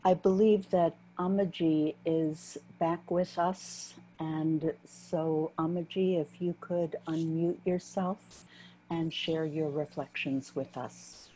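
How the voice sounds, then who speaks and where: A woman moderates a panel over a video call, her voice low and mellow. She speaks slowly and gently, with a faint hum of room noise behind her. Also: fairly even intonation, low-quality recording.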